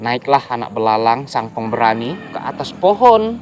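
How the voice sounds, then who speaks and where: A storyteller telling a folk tale to children, animated and often addressing the young listeners directly. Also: medium pitch, moderate pace, a little hiss or hum.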